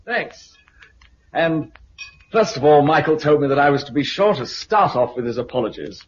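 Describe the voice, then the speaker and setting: An actor playing an affable, courteous British helicopter pilot bringing news, in a 1950s radio drama style. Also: male voice, fairly high pitch, expressive, normal speed, natural voice. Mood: cheerful, formal. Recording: muffled, noisy 1950s radio recording.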